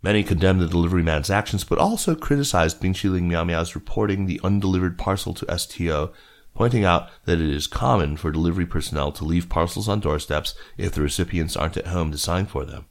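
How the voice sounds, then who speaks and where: A man with a low-pitched voice narrates business news from a script. He works as a reader for a news podcast, delivering written stories aloud. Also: fast talker.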